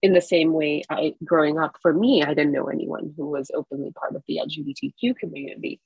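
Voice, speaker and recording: Guest author from Singapore: a woman with a low, husky voice, earnest and encouraging, recorded dry and close. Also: expressive, brisk, no room sound, lo-fi sound. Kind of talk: podcast interview answer.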